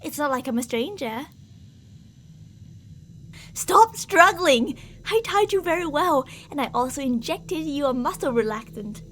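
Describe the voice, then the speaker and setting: Woman acting as a jealous yandere girl stalker, very high voice, sweetly menacing, theatrical, ASMR roleplay, humming background noise. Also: lively intonation, measured pace, fairly bright tone. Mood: stern, impatient. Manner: theatrical, cooing endearments that turn reproachful.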